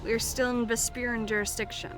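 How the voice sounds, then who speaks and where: A woman's voice: fairly high pitch, little pitch movement, brisk, natural voice. A woman playing a stern, blunt, scoffing knight sergeant in a fantasy audio drama. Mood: scornful, firm. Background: music.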